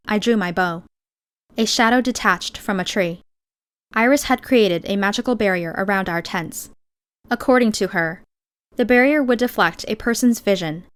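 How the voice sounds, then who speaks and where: A woman narrating an audiobook in the first person, with measured, expressive storytelling. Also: medium pitch.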